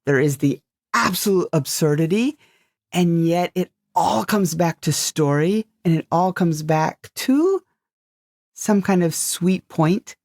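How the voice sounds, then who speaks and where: A woman's voice: low-pitched, darkish tone, no room sound, clean studio sound. A woman from the United States co-hosting a podcast, chatting casually about stories she writes. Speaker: podcast co-host and story writer.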